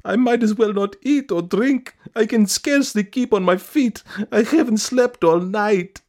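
Man putting on a shrill, wailing woman petitioner. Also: sing-song, darkish tone. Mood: wailing, pitiful. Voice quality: shrill, piping. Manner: wailing, insistent, rapid complaining. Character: whining, pleading, stubborn middle-aged woman petitioner.

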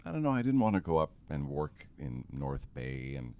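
A man's voice: rumbling low, very animated, normal speed, darkish tone, dull audio. A middle-aged man talking as a podcast guest in casual chat, projecting strongly and laughing often.

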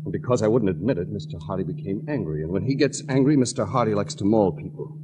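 A man's voice: lowish voice, lively intonation, quick pace, natural voice. An actor playing a bitter, resigned secretary in his thirties in a 1940s radio drama, speaking sardonically on a noisy old recording. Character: bitter, put-upon male secretary, thirty-three, resigned.

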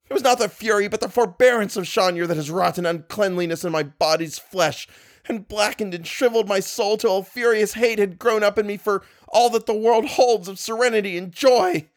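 A man voicing a tormented, disfigured archaeologist whose voice turns shrill and frantic. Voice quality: shrill, rising to shrieks. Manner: frantic, anguished pleading.

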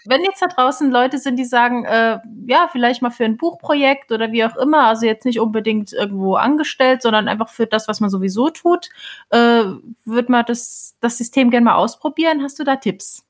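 A woman's voice: a little high, brisk. A woman co-hosting a podcast, chatting casually and putting questions to a guest. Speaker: podcast co-host. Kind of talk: casual chat, asking for tips.

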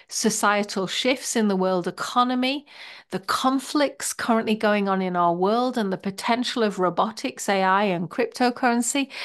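Woman, podcast host, measured reflective delivery, mid-pitched voice. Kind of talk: podcast introduction and moderation.

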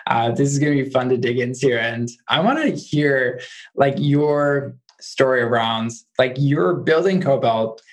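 A man's voice: mid-range voice, a little slow. A man hosting a podcast, chatting casually and saying "like" often. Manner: chatty, many "like" fillers. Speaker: podcast host. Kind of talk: casual interview chat.

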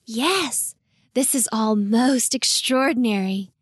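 An eager young storybook girl, acted out by a woman, pitched high and closely recorded. She is caring and cheerful, full of expressive enthusiasm. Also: slow, gravelly, dry recording, studio quality, full character voice. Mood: excited. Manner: bright-spirited, expressive, enthusiastic. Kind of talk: children's storybook character voice.

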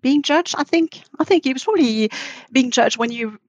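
A woman's voice: sits high, animated, no echo, lo-fi sound. A middle-aged woman, not a native English speaker, talking thoughtfully as a podcast interview guest and often restarting her sentences. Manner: thoughtful, halting with frequent restarts. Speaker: guest, marketing executive.

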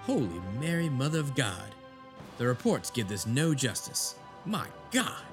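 An actor playing a skeptical, impatient senator with a blunt manner in an audio drama. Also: male voice, medium pitch, very animated, measured pace, music in the background. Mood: awed.

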